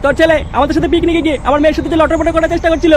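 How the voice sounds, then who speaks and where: A man voicing an irascible, foul-mouthed parent in a comedy cartoon, scolding and bullying in a pitched-up voice. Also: level tone, racing. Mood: angry, demanding. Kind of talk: exaggerated comedy cartoon acting. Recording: noisy track with street and vehicle ambience.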